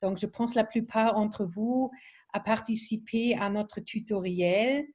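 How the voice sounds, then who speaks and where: German-accented woman, slow and hesitant. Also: mid-range voice, darkish tone. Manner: hesitant, many fillers and restarts. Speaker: presenter of a training webinar. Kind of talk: online training presentation.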